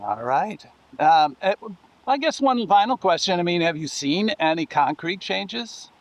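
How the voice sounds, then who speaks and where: High-pitched, halting male interviewer. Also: very animated, dry recording. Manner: halting, rephrasing questions as he goes. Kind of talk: casual interview questions.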